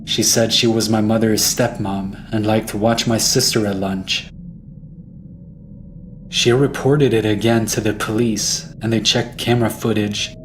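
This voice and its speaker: A man's voice: lowish voice, level tone, unhurried, background noise. A man narrating a scripted first-person horror story. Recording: music behind the voice.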